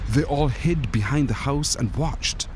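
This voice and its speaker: A man's voice: mid-range voice, measured pace, a little mellow, a little hiss or hum. A man reading a children's storybook expressively, as a narrator who acts out the characters. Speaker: story reader.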